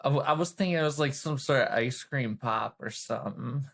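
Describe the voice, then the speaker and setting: A woman with a gravelly, creaky edge talks in a loose, informal way. She is playful and teasing, improvising as a player in a roleplay game. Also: a bass voice, brisk. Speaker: player in a tabletop roleplay podcast. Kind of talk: casual improvised roleplay chat.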